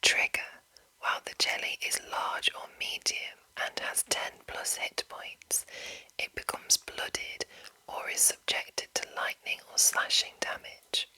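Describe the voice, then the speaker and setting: Asmr lady whispering breathy n super crisp sibilant, reading out slowly in short careful phrases. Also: bright, forceful tone, rough recording. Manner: slow, deliberate, short phrases with pauses. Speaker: ASMR host reading a monster manual.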